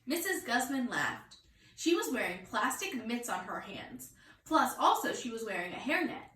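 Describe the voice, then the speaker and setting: High-voiced woman reading a story, echoey room. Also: moderate pace, a little hiss or hum. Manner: expressive storytelling. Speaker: teacher reading aloud. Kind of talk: children's story read-aloud. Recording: roomy echo, distant mic.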